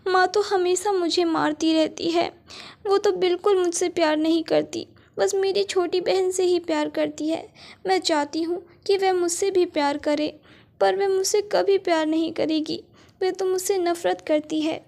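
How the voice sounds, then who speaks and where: Woman voicing a mistreated young girl, soft, pleading, storybook, high pitched. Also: steady tone, normal speed, vocal fry. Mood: despairing. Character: sad, mistreated teenage girl.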